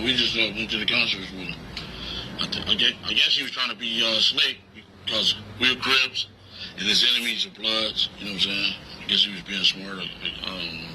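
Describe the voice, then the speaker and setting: Through heavy background noise comes a low male voice. He is middle-aged and recounts things in a casual interview, rambling and halting, with plenty of slang. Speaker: interviewee recounting past events to detectives. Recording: very noisy archived interview, vehicle-like rumble.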